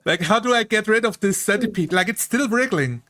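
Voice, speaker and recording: A man with a somewhat higher-pitched voice chats casually in a group. He talks very informally, describing things in vivid, blunt terms. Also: lively intonation, fairly quick, a loud crowd.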